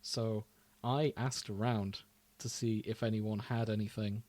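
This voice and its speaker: A man co-hosting a podcast in casual, rambling chat, informal and quick to laugh. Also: lowish voice, flat delivery, a little mellow. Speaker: podcast co-host.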